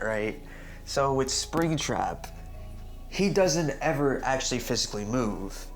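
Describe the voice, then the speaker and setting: A man's voice: medium pitch, vocal fry. A young man giving casual, unscripted commentary on a game theory, stuttering often, restarting sentences and tagging points with "right?". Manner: rambling, keeps asking "right?". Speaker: YouTuber discussing game theories. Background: music in the background.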